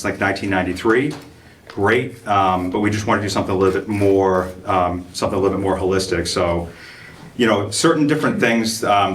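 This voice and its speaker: A man with a deep, low voice gives a loose, earnest update to a town board, leaning on fillers as he goes. He sits well back from the room microphone, so he sounds distant. A faint hiss runs under his words. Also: a bit bright. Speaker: police chief giving an update. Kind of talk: public meeting presentation.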